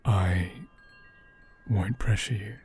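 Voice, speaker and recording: A man playing a gentle, protective caretaker in a soothing ASMR roleplay, speaking softly and tenderly and often slipping into a breathy whisper. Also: fairly low pitch, fairly even intonation, slight background noise. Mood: reassuring. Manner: tender, slow, soothing, reassuring. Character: gentle, protective male caretaker comforting a hurt doll. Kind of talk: soft-spoken ASMR roleplay, partly whispered.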